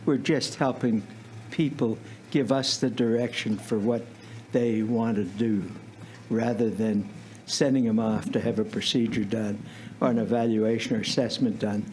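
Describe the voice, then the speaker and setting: An older man speaking bluntly and in a measured way as a panelist in a meeting room discussion. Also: lowish voice, steady tone, a little mellow, a little hiss or hum, rough recording.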